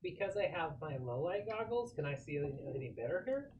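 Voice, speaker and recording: A man's voice: on the high side, very animated, a little fast, darkish tone, muffled recording, a little hiss or hum. A man playing a tabletop game, chatting casually and asking questions about the scene.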